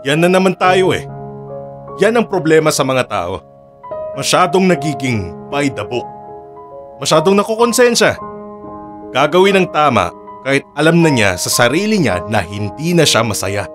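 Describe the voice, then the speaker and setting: An actor playing a bitter, listless jilted lover in a radio drama. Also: male voice, a little high, big pitch swings, normal speed, long pauses, music in the background.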